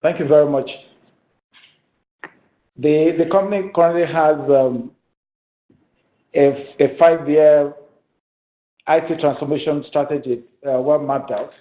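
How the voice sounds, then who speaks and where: Male executive host, slightly high voice, formal and halting, roomy telephone sound. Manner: measured, halting, courteous. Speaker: company executive hosting the call. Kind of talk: formal conference call answers. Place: telephone conference call. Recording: roomy, tinny speakerphone line.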